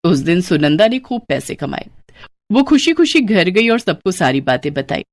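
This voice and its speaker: A woman narrating a children's cartoon moral story in steady, simple scripted storytelling. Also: on the low side, moderate pace.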